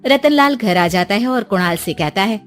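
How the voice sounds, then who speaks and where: A woman's voice: medium pitch, animated, normal speed, faint music behind. A woman reading scripted story narration as a storyteller for a cartoon tale.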